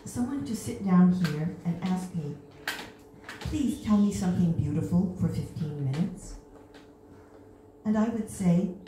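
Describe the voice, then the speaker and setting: An older woman reading her own poem at an open mic, wistful and lifting into bright emphasis on the quoted lines. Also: lowish voice, a little hiss or hum. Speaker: poet. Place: small stage in an indoor venue. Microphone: stand mic, filmed from a far camera. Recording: echoey room from far off.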